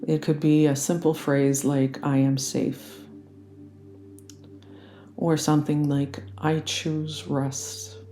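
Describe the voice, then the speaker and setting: A meditation guide leading a guided relaxation in a gentle, soothing and unhurried manner. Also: medium pitch, flat delivery. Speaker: yoga nidra meditation guide.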